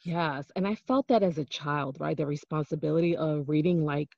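Low gravelly woman, dull dry sound. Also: normal speed, a little mellow, dry recording. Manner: earnest, affirming, laughs along. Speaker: guest. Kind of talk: casual conversational interview.

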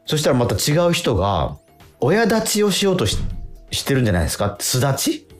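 A middle-aged man hosts a casual radio chat with music playing faintly behind him. His pitch moves around a lot as he tells stories excitably, doubling back on words and acting out reactions. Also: brisk. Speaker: radio show host. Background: faint music bed.

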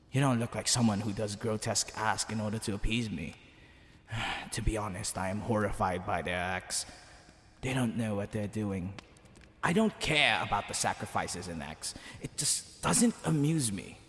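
A man voicing a smug, flirtatious demon king in a theatrical ASMR roleplay, teasing the listener, with creaky tones that drop into breathy whispers. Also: on the low side, lively intonation, fairly bright tone. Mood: exasperated disdain. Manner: theatrical, drawn-out, teasing delivery. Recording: long hall reverb, background hiss and ambience.

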